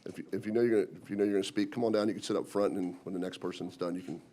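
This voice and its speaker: A man's voice: sits low, steady tone, very fast, dark tone. A man chairing a county meeting, giving polite, brief directions to speakers. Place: county commission meeting room.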